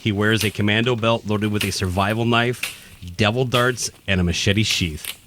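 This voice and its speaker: A man's voice: lowish voice, fairly even intonation, normal speed, noisy background. A man reading scripted narration for a radio play. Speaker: narrator, podcast host.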